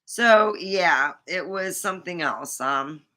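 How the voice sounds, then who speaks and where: A woman's voice: lowish voice, lively intonation, slow, pushed and bright. A woman vlogger chatting casually and rambling, with many fillers and restarts.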